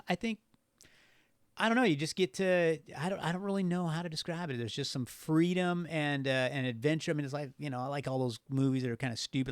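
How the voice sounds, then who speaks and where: A man's voice: mid-range voice, smooth, no room sound, clean studio sound. A man telling stories in loose, casual conversation, unfiltered and freely swearing. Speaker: podcast guest. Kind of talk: casual, rambling conversational storytelling.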